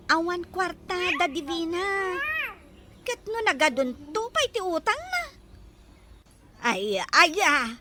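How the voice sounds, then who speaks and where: A woman's voice: high voice, background noise, exaggerated voice. An actress playing a nosy, complaining village woman in an Ilocano radio drama, with exclamatory interjections.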